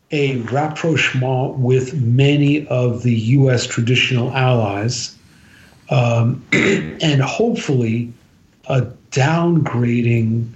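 A man giving political analysis as a podcast guest, speaking in a halting, deliberate, measured way. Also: a little mellow. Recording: roomy, dull low-fidelity sound.

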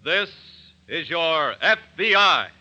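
A man's voice: a little high, sing-song, takes it slowly, sharp tone, muffled recording. A man announcing solemnly in a declamatory 1940s radio style, reading a script on an old broadcast recording. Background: music.

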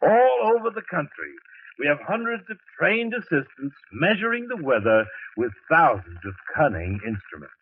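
An actor playing a fussy, pompous weather bureau chief in a 1940s radio drama, flustered and lecturing. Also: male voice, a little high, very animated, normal speed, breathy edge, heavily acted. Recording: tinny, hissy old radio broadcast.

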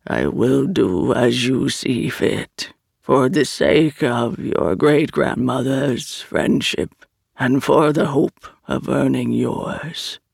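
A narrator voicing an ancient, weary male fire spirit that speaks slowly and solemnly in a deep, rumbling voice that sometimes sinks to a whisper. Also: creaky, breathy edge, no room sound, clean studio sound, full character voice. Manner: slow, solemn, sorrowful.

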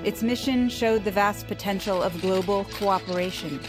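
An actress playing a solemn broadcast narrator in an audio drama, delivering scripted retrospective lines. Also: female voice, mid-range voice, normal speed, music in the background, lightly acted. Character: solemn woman narrating a news retrospective on a space mission.

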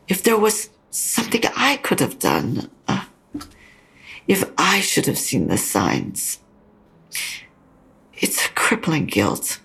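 An actress playing a frank, consoling older mother near death in an audio drama, speaking slowly and haltingly with a husky, creaky voice. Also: lowish voice, fairly bright tone, exaggerated voice. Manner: slow, halting, probing. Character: dying mother, frank, consoling, stubbornly insistent.

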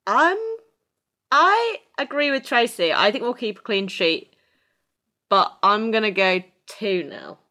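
A woman co-hosting a football podcast, speaking in a measured way with some hesitant fillers. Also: medium pitch, lively intonation, pushed and bright. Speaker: podcast co-host. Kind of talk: casual podcast chat.

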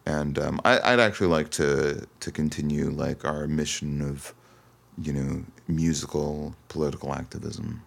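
A man's voice: very deep voice, steady tone, normal speed, vocal fry. A man from Toronto, Canada, talking casually and reflectively as a musician guest in an interview, often hesitating. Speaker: singer-songwriter guest.

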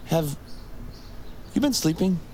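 An actor playing a calm, dismissive emergency responder in an audio drama, casual, patronizing and matter-of-fact. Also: male voice, fairly high pitch, slow pace, slight background noise. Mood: dismissive, reassuring.

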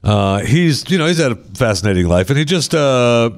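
Wry man with lively pitch. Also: no room sound, clean studio sound. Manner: wry, deadpan storyteller who walks through numbers slowly. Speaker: radio show host. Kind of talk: casual banter.

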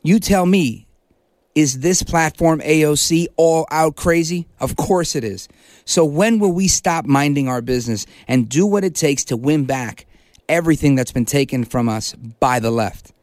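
Emphatic talk radio host, dry studio. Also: male voice, on the high side, darkish tone, no echo. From Brooklyn, New York, United States. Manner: emphatic, punchy, repeats key words with slow stress. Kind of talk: political talk radio monologue. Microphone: studio microphone.

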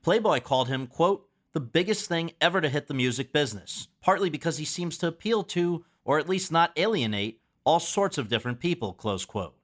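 A man hosting a podcast, reading a measured scripted introduction full of quotations, then asking polite questions. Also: a little high, animated, fairly bright tone. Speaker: podcast host.

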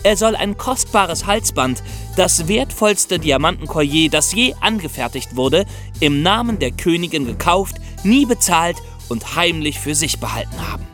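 High-voiced, animated male history podcast host. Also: normal speed, soft background music. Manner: explanatory, addresses listeners directly. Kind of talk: scripted storytelling for a history podcast.

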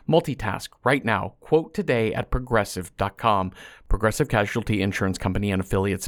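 A man's voice: on the low side, no room sound. Man reading podcast promos, very dry sound. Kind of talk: conversational scripted ad read.

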